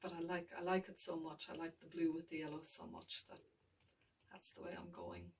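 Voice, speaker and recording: A woman's voice: low voice, fairly even intonation, fairly quick, distant mic, a little hiss or hum. A woman art tutor talking through her painting as she works, thinking aloud, often mumbling and trailing off.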